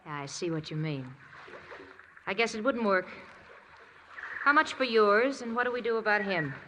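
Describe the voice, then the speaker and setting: An actress playing a cool, scheming murderess in a 1940s radio detective drama, with a sardonic way of talking. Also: female voice, mid-range voice, lively intonation, normal speed, a bit bright, lightly acted. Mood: wary bargaining. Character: cynical, scheming femme fatale murderess in a detective story. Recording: noisy old 1940s radio recording.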